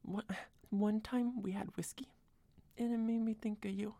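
A young woman doing a soft, close-mic whispered girlfriend roleplay, rambling and doting, a little tipsy. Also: mid-range voice, steady tone, moderate pace, gravelly, no room sound. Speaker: ASMR roleplayer.

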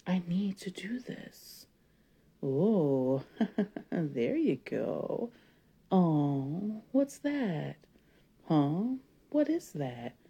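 A woman playing a breathy, possessive wife. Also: lowish voice, full character voice. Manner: sultry, slipping between whisper and loud. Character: possessive, domineering adult wife. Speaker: audio roleplay performer. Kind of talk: solo audio roleplay.

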